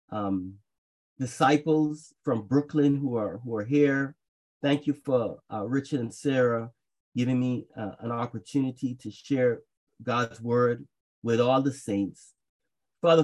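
A man raised in Jamaica and Trinidad, preaching a sermon to a congregation over a video call, earnest and halting, with frequent restarts. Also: medium pitch, darkish tone, rough recording.

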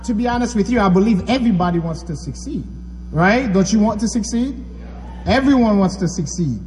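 Man, from Haiti, preacher, high voice, echoey hall, faint hum. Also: darkish tone. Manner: conversational, asks the audience questions. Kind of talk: church sermon.

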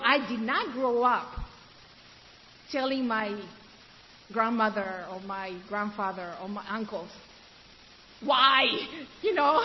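A woman from Ecuador preaching to a room of women, asking them questions and then answering them, and slowing down to stress key words. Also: on the high side. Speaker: women's ministry speaker. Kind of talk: sermon. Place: room with an audience. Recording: dull, hissy recording in a reverberant hall.

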